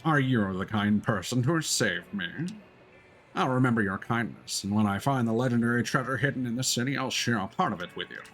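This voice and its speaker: A man's voice: mid-range voice, lively intonation, a little fast, fairly bright tone, a little hiss or hum, close to an everyday voice. A man voicing a small, courteous and earnest robot creature from a video game, with formal phrasing. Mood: earnest, grateful.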